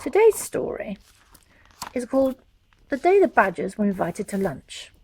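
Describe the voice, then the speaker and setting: A woman's voice: fairly high pitch, sing-song, measured pace, husky. A woman narrating a children's storybook in rhyming verse. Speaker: author reading own story.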